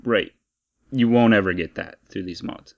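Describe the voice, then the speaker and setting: Low-voiced man in casual podcast banter. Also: moderate pace, gravelly, dull audio. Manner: informal, bantering. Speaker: podcast co-host. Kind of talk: casual chat, explaining gaming news.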